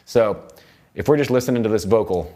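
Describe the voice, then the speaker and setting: A man's voice: lowish voice, animated, a little fast, warm tone. A young man giving a casual, informal tutorial as an audio production instructor in a recording studio. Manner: informal, loose explaining. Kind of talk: casual hands-on software tutorial. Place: recording studio control room. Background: music playback from the session.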